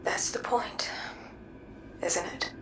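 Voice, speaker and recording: An actress playing a weary, guilt-laden, devoted sister in a video game, halting and breathy, in a roomy space with music behind. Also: female voice, whispered, distant mic, natural voice. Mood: weary, regretful. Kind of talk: video game cutscene acting.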